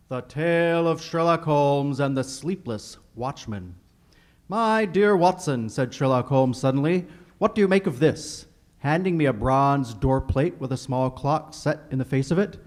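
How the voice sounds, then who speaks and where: Man playing formal old-time radio announcer, highish voice. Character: Paul Hartman, courteous male radio announcer and sponsor pitchman. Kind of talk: recreated 1940s radio announcing, scripted narration.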